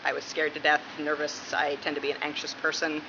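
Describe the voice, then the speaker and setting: A woman's voice: low-pitched, completely flat delivery, fairly quick. A woman from Massachusetts answering questions in a casual interview. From Massachusetts, United States. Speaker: interviewee, police dispatcher. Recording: muffled, clearly noisy room recording.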